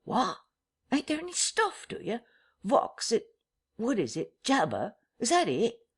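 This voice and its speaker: A woman's voice: wildly swooping pitch, measured pace, husky, full character voice. A woman reading an audiobook voices a bewildered, easily rattled male tramp who exclaims in slang. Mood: incredulous. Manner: exclaiming, slangy, high and drawn out. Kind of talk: audiobook character voice.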